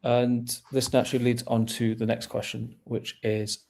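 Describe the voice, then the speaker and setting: A man hosting a webinar, reading out viewer questions in a relaxed, joking way with some hesitation. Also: mid-range voice, moderate pace, vocal fry, a little hiss or hum. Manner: relaxed, jokey, hesitant with fillers. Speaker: webinar host. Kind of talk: webinar Q&A moderating.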